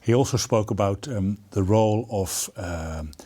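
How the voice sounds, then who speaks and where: A man's voice: lowish voice, unhurried, warm tone. A man interviewing someone conversationally, chatty and wordy, often chiming in with remarks of his own.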